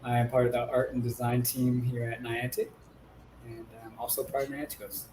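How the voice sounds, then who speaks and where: A man's voice: mid-range voice, level tone, brisk, a little mellow. A man from California's Bay Area talking casually as a panelist. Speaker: game art and design panelist. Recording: echoey room, faint background noise.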